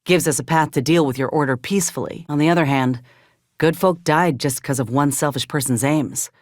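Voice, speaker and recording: A woman's voice: sits low, fairly quick, darkish tone, no echo, studio quality, natural voice. An actress playing a frank, caring young woman soldier in a game, earnest and plain-spoken with folksy turns of phrase. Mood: frank, troubled. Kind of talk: video game companion dialogue.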